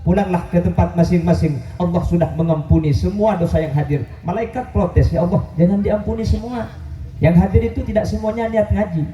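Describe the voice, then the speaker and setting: A man's voice: high-pitched, fairly quick, warm tone. A man preaching an Islamic sermon to a gathering, addressing listeners directly in a joking manner and mixing in Arabic quotations. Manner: joking, self-deprecating, addresses the audience directly. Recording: roomy, distant, noisy live gathering recording.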